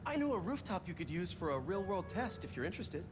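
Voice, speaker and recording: A man's voice: high voice, big pitch swings, fast. An actor playing a polite, earnest young man being shown around a new job in a game cutscene. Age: young adult. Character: young male science graduate, earnest and polite. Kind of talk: video game cutscene acting. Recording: game soundtrack music behind.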